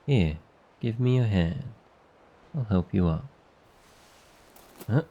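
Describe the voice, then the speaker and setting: A man playing a gentle, devoted noble demon familiar in a boyfriend ASMR roleplay, speaking softly in a tender, earnest, unhurried way. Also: on the low side, animated, highs cut off. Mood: playful, caring.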